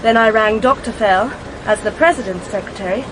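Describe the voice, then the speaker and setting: High-voiced, guarded young British woman played by an actress. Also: fairly quick, noisy background, lightly acted. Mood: guarded, confessing. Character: anxious, secretive young woman, polite but guarded. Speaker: actress in a radio detective play. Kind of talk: British radio drama acting, dialogue.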